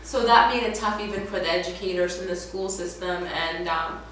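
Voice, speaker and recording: In a very echoey room, a young woman from Ethiopia speaks from a distance in a fairly low voice. She is a native Amharic speaker recounting her own life in an interview. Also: steady tone, moderate pace, a bit bright. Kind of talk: personal life story told in interview. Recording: echoey room, distant mic, faint noise.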